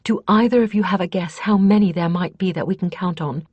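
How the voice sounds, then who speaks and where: A woman's voice: lowish voice, brisk, breathy edge, no echo, highs cut off, pitched above the performer's own voice. A woman voicing a confident, fiercely determined female mage in an audiobook, explaining things earnestly and emphatically. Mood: businesslike. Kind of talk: audiobook character dialogue.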